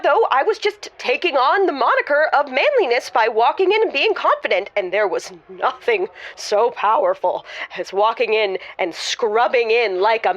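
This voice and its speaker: A woman playing a giddy fake doctor in an improvised comedy audio show, confessing haltingly and then gushing theatrically. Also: on the high side, highs cut off. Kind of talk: improvised comedy audio drama, radio call-in.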